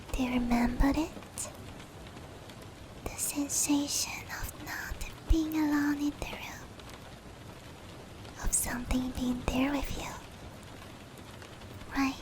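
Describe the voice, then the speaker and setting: A woman voicing a female sleep paralysis demon in a breathy, whispered roleplay ASMR style, with hushed, close, drawn-out phrasing. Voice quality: breathy, whispery, high-pitched. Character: female sleep paralysis demon, teasing menace turning tender. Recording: steady rain noise behind voice.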